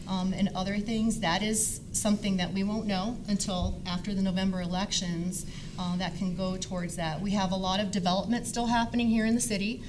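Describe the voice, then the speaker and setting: A middle-aged woman with a medium-pitched voice gives an informal, rambling talk at a public forum. She speaks conversationally as a council member, and the live recording has clear noise behind her. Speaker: city council member and candidate. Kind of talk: informal speech at a candidate forum. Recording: noisy live room sound.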